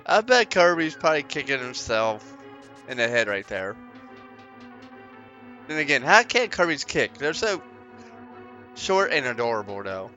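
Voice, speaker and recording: A man commentating a video game race in a lively voice that swings up and down in pitch, with a bright tone. He jokes around and reacts eagerly to the action. Faint music plays under him. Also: unhurried, pauses often. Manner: jokey, animated, reacts loudly to game events. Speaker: speedrun commentator. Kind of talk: casual play-by-play commentary.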